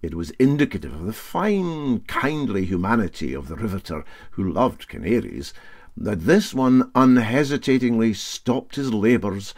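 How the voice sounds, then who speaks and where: A man's voice: lowish voice, very animated, normal speed, no echo, clean studio sound. A man with a Scottish accent reading a story as a scripted audiobook narration.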